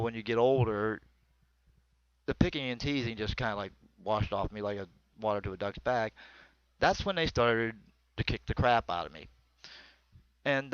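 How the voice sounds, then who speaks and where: A man's voice: medium pitch, lively intonation, normal speed, fairly bright tone, clean tone, no echo. Middle-aged American man, dry lo-fi recording. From small town in northwestern Pennsylvania, United States. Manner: colloquial, rambling, crude-humored. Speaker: comedian telling stories. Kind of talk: comic personal storytelling monologue.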